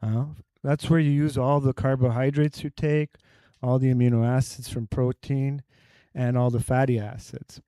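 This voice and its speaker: A man's voice: mid-range voice, flat delivery, normal speed, warm tone, smooth, no room sound. A man giving a conference talk on health to a live audience. He explains with everyday analogies and quizzes the crowd directly.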